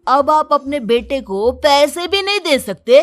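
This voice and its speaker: A spoiled, lazy teenage boy in a cartoon, voiced by a woman. The voice sits fairly high and whines with flippant cheek, over faint background music. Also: expressive, unhurried, no echo. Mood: demanding, flippant. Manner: whining, flippant. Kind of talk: Hindi cartoon moral-story acting.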